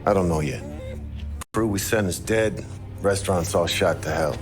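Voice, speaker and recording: Guy doing tough american mob henchman, deep-ish, terse, music in the background. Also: normal speed, natural voice. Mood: guarded, tense. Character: guarded gangster underling, tough, male.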